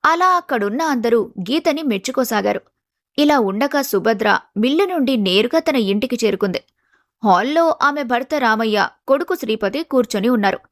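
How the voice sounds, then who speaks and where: Woman narrator telling a scripted story, kinda high voice, dry clean recording. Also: lively intonation, moderate pace, no room sound.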